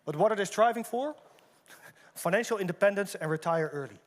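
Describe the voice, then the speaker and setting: A man co-hosting a conversation on a conference stage, animated, tossing questions to the audience and often tagging on "right?". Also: high voice, a little fast. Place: large conference hall stage. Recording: long reverb of a large hall.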